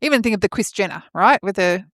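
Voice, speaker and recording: A woman's voice: medium pitch, lively intonation, fast talker, a bit bright, no echo, studio quality. A woman in her forties with an Australian accent, chatting casually as a podcast co-host. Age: 40s.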